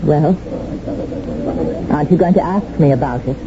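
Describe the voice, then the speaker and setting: A woman's voice: deep voice, moderate pace. An actress playing a scarred, frank woman professor in a radio drama, with a direct and pointed way of talking. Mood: frank, challenging. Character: scarred female Latin American literature professor, frank and vengeful. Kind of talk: 1970s radio drama acting. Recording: dull old radio recording, noisy background.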